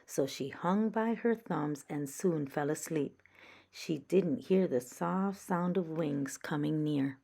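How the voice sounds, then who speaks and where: Female storyteller for children's read-aloud: unhurried, gravelly fry, slightly low register. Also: mellow.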